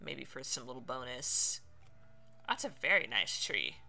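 A woman giving casual, animated commentary as she streams a video game let's play. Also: extremely low pitch, a little slow, gravelly. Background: game music.

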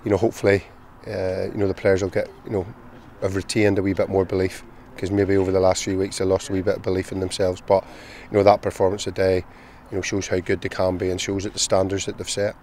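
Middle-aged Northern Irish man, football manager interview pitchside, low voice, flat delivery, faint outdoor hum. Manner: measured, many "you know" fillers. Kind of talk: post-match interview. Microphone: handheld interview mic, close camera.

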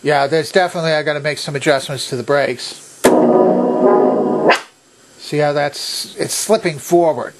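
A man's voice: on the high side, moderate pace, long pauses, faint music behind, low-quality recording. An older man narrating a hands-on repair demonstration in a casual, unscripted, methodical step-by-step way. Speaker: presenter of a vintage electronics repair video. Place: indoor workbench.

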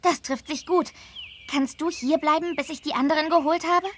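A woman voicing a friendly, eager teenage girl on holiday in a children's game, earnest and clearly spoken. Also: high-pitched, steady tone, moderate pace, background noise, lightly acted. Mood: friendly, concerned. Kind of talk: scripted children's game dialogue.

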